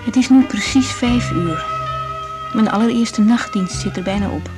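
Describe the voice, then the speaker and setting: An actress playing an overwhelmed young night-shift doctor in a radio drama, speaking in an intimate, weary, plain-spoken way. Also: female voice, mid-range voice, moderate pace, over music, close to an everyday voice. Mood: drained, upset. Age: young adult. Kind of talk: naturalistic radio drama, diary-like monologue and dialogue.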